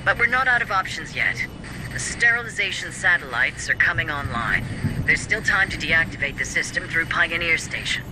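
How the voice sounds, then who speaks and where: An actor playing a formal, calculating corporate director in a video game, measured and coolly condescending. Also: male voice, on the high side, animated, noisy background, lightly acted. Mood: measured, grave.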